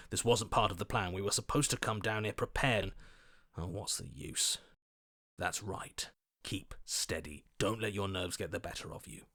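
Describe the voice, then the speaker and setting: Wary game character, calculating schemer, slightly low voice, bright tense tone, questioning, deliberate, dry sound. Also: male voice, dry recording, full character voice. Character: wary, calculating male inner voice, suspicious strategist. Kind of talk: dramatic video game voice acting.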